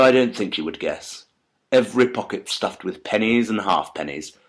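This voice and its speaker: Guy acting a sharp victorian-style detective man, deep voice, formal wordy storytelling, emphatic, audiobook drama. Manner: articulate, emphatic storytelling with formal period diction.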